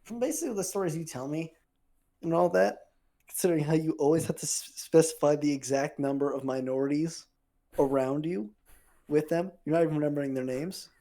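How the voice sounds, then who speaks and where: A man's voice: on the high side, fairly quick, warm tone. A young man co-hosting a podcast, chatting crudely and casually, rambling, swearing and trailing off mid-sentence. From Filipino heritage. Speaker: podcast co-host.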